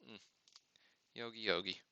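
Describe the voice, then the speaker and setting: A man's voice: on the low side, steady tone, unhurried, sharp tone, smooth, dry recording. A man hosting a live card-opening stream with casual, off-the-cuff commentary and muttered asides to himself. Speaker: host of a sports card break livestream.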